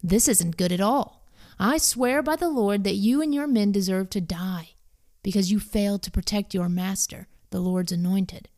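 A woman's voice: mid-range voice, measured pace, dark tone, lightly acted. A woman voicing a bold young male fugitive warrior in a Bible story, earnest, declamatory and pleading. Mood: taunting.

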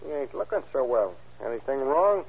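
Husky, wry American adventurer. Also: male voice, mid-range voice, big pitch swings, normal speed, heavily acted. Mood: probing. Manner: laconic, slangy, needling. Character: wry, skeptical American soldier-of-fortune, the crook's old pal. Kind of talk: old-time radio crime drama acting. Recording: muffled, tinny old radio recording.

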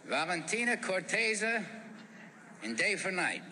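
A man presenting an award, announcing nominees in a loud, projected voice. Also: sits high, big pitch swings, unhurried, a little hiss or hum. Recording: awards hall with long reverb.

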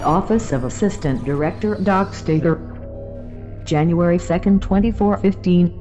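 A woman's voice: lowish voice, normal speed, highs cut off, over music, lightly acted. A woman playing an impersonal announcer in an audio drama, stating things in a clipped, formal way. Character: impersonal female log announcer stating place and time.

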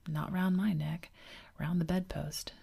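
A woman's voice: deep voice, steady tone, brisk, creaky, mellow, husky, heavily acted. A woman whispering the lines of a fussy, blustering middle-aged father in a storybook reading.

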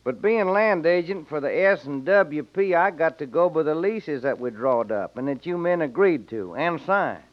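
An old radio western, slightly dull in sound. A man acts a smooth-talking land agent with a high voice, genial and evasive in his Western drawl. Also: expressive, normal speed, few pauses, close to an everyday voice. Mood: placating. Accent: American Western drawl. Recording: muffled old radio recording.

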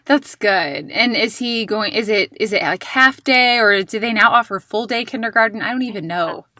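A woman's voice: medium pitch, moderate pace, bright, forceful tone, no room sound, clean studio sound. A woman hosting a podcast, chatty and encouraging as she introduces and interviews in a conversational style.

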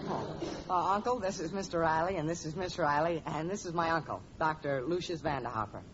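An actor playing a polite, earnest, harmless young boyfriend in an old radio family comedy. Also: male voice, on the high side, a little fast, natural voice. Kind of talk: 1940s radio comedy acting. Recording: very noisy, muffled old radio recording.

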